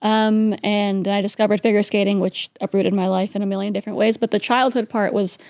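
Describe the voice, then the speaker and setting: A woman's voice: mid-range voice, flat delivery, a little mellow, dry recording. A young woman from New York City, a Russian native speaker, telling her story as an interview guest, chatty and full of "like" and "um". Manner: chatty, quick, peppered with "like" and "um".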